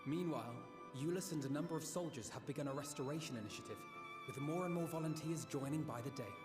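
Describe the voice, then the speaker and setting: A man's voice: fairly high pitch, quick pace, close to an everyday voice. An actor voicing an earnest, idealistic young nobleman in a video game, speaking politely and formally. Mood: modest, earnest. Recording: echoing hall with music behind.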